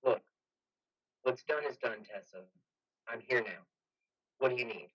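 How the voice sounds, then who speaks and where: A man's voice: mid-range voice, a little slow, fairly bright tone, breathy edge. Man playing a guarded army major, slightly muffled. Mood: concerned. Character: guarded army major, defensive, patronizing, dutiful. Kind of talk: play reading, modern drama.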